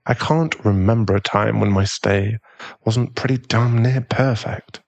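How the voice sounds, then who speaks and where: A man with a British accent playing a tender, protective aristocratic werewolf fiancé in a soothing ASMR boyfriend roleplay, his voice husky and genteel. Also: lowish voice, steady tone, measured pace, lo-fi sound. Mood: reassuring, caring.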